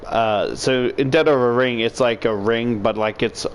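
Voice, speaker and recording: A man's voice: medium pitch, normal speed, slight background noise. A man reading a visual novel aloud and adding joking, sweary commentary. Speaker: video creator reading a game aloud.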